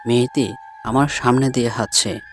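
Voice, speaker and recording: A man's voice: mid-range voice, steady tone, moderate pace, a little hiss or hum. A man narrating a scripted first-person ghost story as a storyteller. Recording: music bed behind the voice. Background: music.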